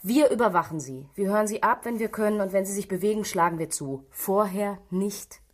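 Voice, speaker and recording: An actress in a German radio drama playing a stern police superior who gives cutting, sarcastic orders. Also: female voice, mid-range voice, brisk, natural voice. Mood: firm, commanding.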